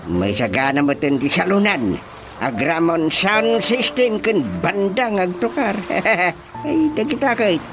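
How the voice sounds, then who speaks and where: An actor playing an excitable, exclamatory family go-between in an Ilocano radio drama comedy, with a theatrical, stammering delivery. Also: male voice, high-pitched, a little slow, poor audio. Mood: eager. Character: excitable Filipino male go-between arranging a rushed family wedding. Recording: radio drama with music behind.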